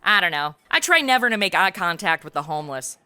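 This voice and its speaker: A woman's voice: lowish voice, sing-song, quick pace, pushed and bright, clear, resonant tone, no room sound, full character voice. A woman playing a snarky modern young superhero sidekick in a comedy audio drama, tossing off dry, sassy asides.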